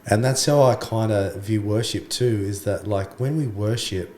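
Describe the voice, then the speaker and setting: A man who has lost his voice and sounds croaky, chatting casually as a guest worship pastor, calling people mate and bro. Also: on the low side, moderate pace, a little mellow. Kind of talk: casual podcast conversation. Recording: echoey room, faint background noise.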